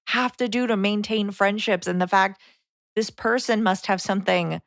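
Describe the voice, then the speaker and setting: A woman with a mid-pitched voice co-hosts a casual podcast. The sound is close and very dry, and her delivery is conversational. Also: normal speed, dry recording. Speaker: podcast co-host.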